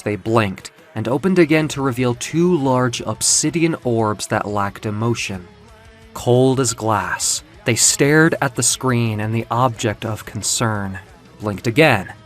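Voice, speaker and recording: A man with a mid-pitched voice narrates an audio comic. His delivery is dramatic and deliberate, like staged storytelling. Music plays beneath his words. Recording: music under the voice.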